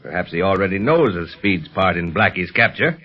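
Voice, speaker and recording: Muffled 1930s radio police chief. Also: male voice, deep voice, lively intonation, breathy edge, heavily acted. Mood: earnest, urgent. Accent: American. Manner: formal, deliberate, weighty delivery. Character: authoritative male secret police chief, earnest and commanding. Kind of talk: 1930s radio adventure serial acting. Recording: dull, band-limited 1930s radio recording.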